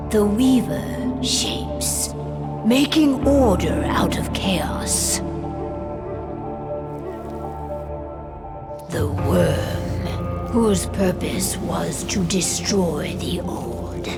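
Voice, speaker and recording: A woman playing a grave, mystical werewolf narrator recounting ancient lore in a deliberate, portentous game prologue. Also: on the low side, expressive, exaggerated voice. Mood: solemn, mysterious. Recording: loud music under the voice.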